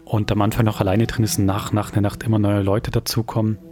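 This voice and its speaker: Guy podcast host, lowish voice, chatting casually over music. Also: little pitch movement, fast talker, mellow. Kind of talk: casual introductory chat. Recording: music behind the voice.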